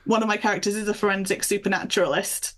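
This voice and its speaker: A woman's voice: medium pitch, steady tone, quick pace. Chatty woman in a casual interview. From north of England and Michigan, USA. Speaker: author being interviewed.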